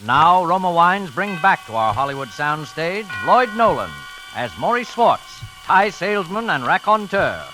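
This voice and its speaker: A man's voice: high voice, sing-song, measured pace, few pauses, low-quality recording. Declamatory 1940s male radio announcer. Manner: declamatory, polished. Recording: vintage 1940s radio broadcast with music.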